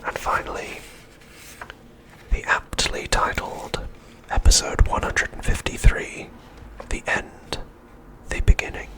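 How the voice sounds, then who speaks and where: A middle-aged man narrating an ASMR recap in an unvoiced, breathy whisper, gently and unhurriedly with deliberate pauses. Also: low-quality recording. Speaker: ASMR host.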